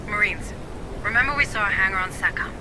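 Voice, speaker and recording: A woman playing a brisk, instructive military mission controller addressing Marines in a video game. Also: mid-range voice, background noise, close to an everyday voice. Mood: brisk, alert.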